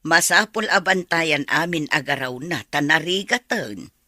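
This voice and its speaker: A woman's voice: sits low, expressive, unhurried, bright, forceful tone, no echo, studio quality, exaggerated voice. A woman acting an older, caring grandmother who readily helps her daughter, in an Ilocano radio drama.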